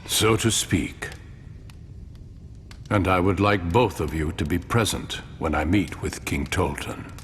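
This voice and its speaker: A grave male ruler with a very low voice, speaking slowly and formally. He sounds weary and principled, every phrase deliberate, as in a dramatic video game scene. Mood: solemn, earnest. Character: weary mortal male ruler, grave, idealistic yet pragmatic. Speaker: voice actor in a game cutscene. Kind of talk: dramatic game cutscene acting. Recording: long hall reverb, low rumble.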